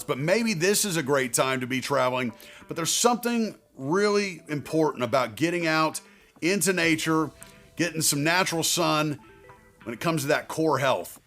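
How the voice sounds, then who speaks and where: A man with a slightly high voice talks directly to the viewer as a financial advisor hosting his own video. His delivery is conversational yet emphatic, with deliberately placed phrases. Faint music plays behind him. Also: a bit bright. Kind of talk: direct-to-viewer advice talk.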